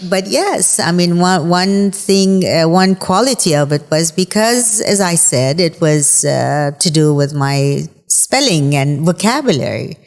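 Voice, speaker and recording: A woman from Bahrain whose first language is Farsi, speaking as an interview guest in a reflective, halting way with many fillers. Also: lowish voice, animated, darkish tone, long reverb tail. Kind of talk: conversational interview.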